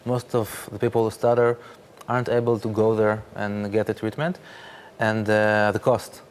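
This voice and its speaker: A man, speaking haltingly with many fillers as a guest in a studio interview. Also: fairly low pitch, level tone, a little hiss or hum. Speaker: interview guest, startup founder.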